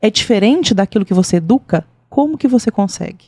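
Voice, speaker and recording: A woman's voice: medium pitch, animated, brisk, dark tone, husky. A woman with a Brazilian Portuguese accent hosting a casual podcast, thoughtful and searching for her words as she asks her questions. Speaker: podcast host.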